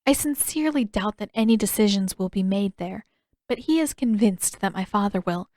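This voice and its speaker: A woman voicing a gentle, wistful young princess in a storybook reading, speaking politely in measured, formal phrases. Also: mid-range voice, lively intonation, no room sound, clean studio sound, close to an everyday voice. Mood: reserved, regretful.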